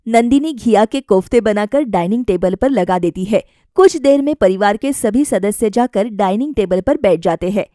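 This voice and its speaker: A woman's voice: medium pitch, expressive, moderate pace, hardly any pauses, dark tone, no room sound, clean studio sound. A woman narrating a scripted family story as a storyteller.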